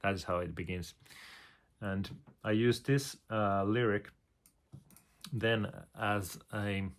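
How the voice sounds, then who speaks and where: Gravelly low-voiced man. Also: takes it slowly. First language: Finnish. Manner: hesitant, many fillers, hums melodies. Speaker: composer. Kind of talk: explaining his composing process.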